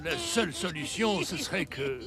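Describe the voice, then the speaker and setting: An actor playing a weary, fatherly old Santa Claus in a cartoon, hesitant and deliberate, with a gravelly voice. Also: on the high side, wildly swooping pitch, full character voice. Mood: worried, resigned. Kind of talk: French cartoon dubbing. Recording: music behind the voice.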